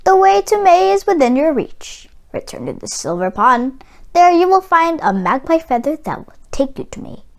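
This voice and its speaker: A woman voicing a kindly, wise magpie in a children's storybook, gentle, solemn and reassuring. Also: high voice, very animated, moderate pace, voice pushed up. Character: kindly, wise magpie bringing hopeful news. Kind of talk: storybook read-aloud character voice.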